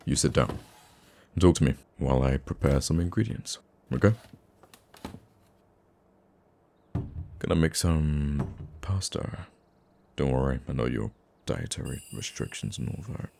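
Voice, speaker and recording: A man playing a gruffly caring, teasing supervillain who comforts a nemesis in an intimate ASMR roleplay, with a deep, gravelly voice. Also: exaggerated voice. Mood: bossy, caring. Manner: soft-hearted, teasing, halting when flustered.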